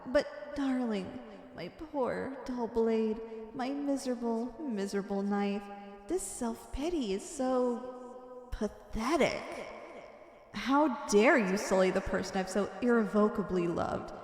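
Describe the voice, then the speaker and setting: Girl doing an obsessive evil lover lady, highish voice, super grandiose and fervent asmr roleplay, big echoey reverb. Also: expressive, slow pace, darkish tone. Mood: scornful, wounded devotion. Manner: grandiose, ornate, fervent delivery. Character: obsessive villainous woman, wicked devoted lover, theatrical. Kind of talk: ASMR roleplay, scripted dramatic monologue. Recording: echoey, long reverberant hall effect.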